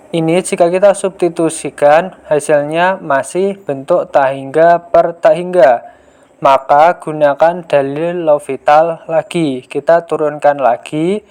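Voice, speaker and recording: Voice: mid-range voice, a little mellow. A math tutor narrating a lesson step by step in a methodical, explanatory way.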